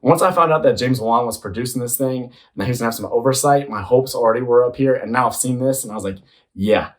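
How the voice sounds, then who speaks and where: A man's voice: mid-range voice, fast talker. A man giving casual, slangy commentary as an enthusiastic host reacting to a movie trailer. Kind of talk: excited casual commentary to viewers.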